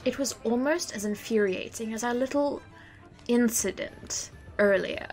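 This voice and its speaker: A woman's voice: on the high side, a little slow, darkish tone, soft background music, close to an everyday voice. A woman doing a scornful tusked judge. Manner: scornful, theatrical. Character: tired female tusked judge, scornful and theatrical. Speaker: afterlife judge. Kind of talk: audio drama acting.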